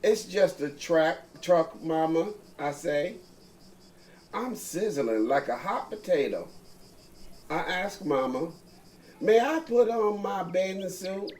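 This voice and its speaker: Slow, fairly high-voiced man reading a story. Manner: slow, expressive reading aloud. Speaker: co-reader of the story.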